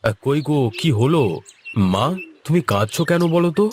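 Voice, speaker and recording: Cartoon son male actor: mild, solicitous, questioning, somewhat high-pitched for a man. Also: animated, moderate pace, a little hiss or hum, natural voice. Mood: worried. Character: concerned, mild married son. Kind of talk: Bengali cartoon voice acting.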